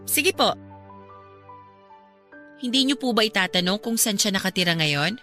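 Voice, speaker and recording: A woman's voice: mid-range voice, animated, moderate pace, fairly bright tone, soft background music, natural voice. An actress with a Filipino accent playing a polite but persistent young woman in a radio drama, deferential yet pointed. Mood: probing, concerned.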